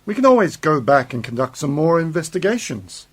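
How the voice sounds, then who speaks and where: A man's voice: fairly high pitch, very animated, brisk, slightly rough. A man playing a curt, dismissive time-travelling uncle in an amateur science fiction radio play.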